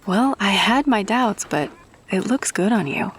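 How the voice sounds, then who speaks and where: A woman's voice: medium pitch, animated, unhurried, a little hiss or hum, lightly acted. A woman playing a friendly, grateful rogue-for-hire in a fantasy audio roleplay, talking casually and chummily to the listener. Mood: friendly, persuasive.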